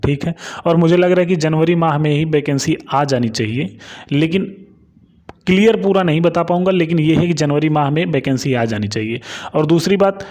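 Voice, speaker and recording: A man's voice: a little high, a little mellow. A man giving an informal update and study advice to students, repeating himself with reassuring tags and emphatic urging. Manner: repetitive, reassuring tag phrases, emphatic urging. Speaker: exam news YouTuber and study adviser.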